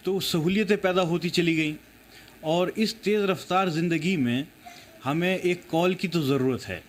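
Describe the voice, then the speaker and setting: A man's voice: a little high, moderate pace, slight background noise. A man giving his opinion in a spoken statement for the news.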